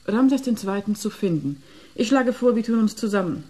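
Nofretete, mellow-voiced cool agent played by a woman. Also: mid-range voice, animated, moderate pace, close to an everyday voice. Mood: composed. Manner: composed, businesslike, assertive. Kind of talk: German radio play acting.

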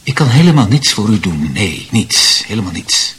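Stern, bright-voiced priest. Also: male voice, fairly low pitch, sing-song, normal speed, breathy edge, heavily acted. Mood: cold, restrained. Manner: formal, firm, curt. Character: cold, stern priest rebuffing a woman's love. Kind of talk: radio drama acting.

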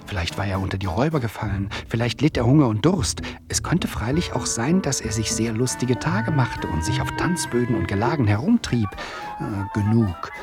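An actor as a wry storyteller narrating a radio play in a theatrical, rhetorical manner. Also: male voice, mid-range voice, lively intonation, normal speed, over music, natural voice. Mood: wry, musing. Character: wry, omniscient male storyteller addressing his listener.

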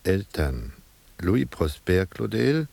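An actor playing an impassive asylum registrar in a radio drama, reading out records in a clipped, bureaucratic, detached way. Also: male voice, low voice, big pitch swings, takes it slowly, vocal fry, darkish tone, husky, no echo, heavily acted. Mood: detached, official.

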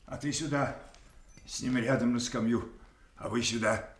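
A man's voice: medium pitch, fairly even intonation, normal speed, echoey room, slight background noise, natural voice. An actor playing a mad old king, betrayed and imperious, in a theatrical radio play. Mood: solemn, deranged. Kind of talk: theatrical audio drama acting.

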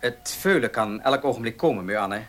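An actor playing an earnest, proud young farmer in a radio play, husky and plain-spoken, with emotional delivery. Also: male voice, medium pitch, expressive, fairly quick, fairly bright tone, close to an everyday voice. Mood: indignant. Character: earnest young horse-loving farmer, proud, short of money. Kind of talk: Dutch radio play acting.

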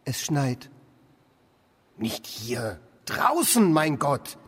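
An actor playing an idle, bemused drifter who mutters and exclaims to himself in a German radio play. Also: male voice, medium pitch, big pitch swings, extremely slow, husky, no room sound, heavily acted. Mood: musing, exasperated. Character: idle male drifter, musing, irritable, bemused.

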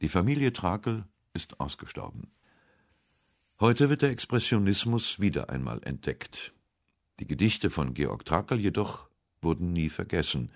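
A man narrating a literary radio essay from a script in a measured, serious manner. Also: deep voice, very animated, gravelly.

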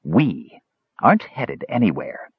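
A man voicing a sour, aloof and menacing mage, cold and deliberate, as an audiobook character. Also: sits low, very animated, breathy edge, no echo, dull audio, heavily acted. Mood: irritated.

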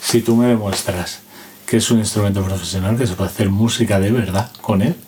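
A man's voice: on the low side, steady tone, fairly quick, a little mellow, slight background noise. A man with an accent from Spain telling anecdotes casually and colloquially, checking in with little tag questions and acting out what people said. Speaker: interview guest, sound and music analyst.

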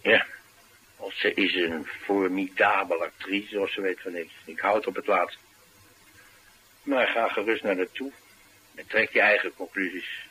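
An actor playing a streetwise, talkative driver, confiding and musing in a colloquial radio drama style. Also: male voice, low-pitched, fairly even intonation, a little slow, long pauses, pushed and bright, slightly rough, heavily acted. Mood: matter-of-fact. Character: streetwise, talkative male driver, wry and brooding. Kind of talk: naturalistic radio drama acting. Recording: noisy radio drama recording.

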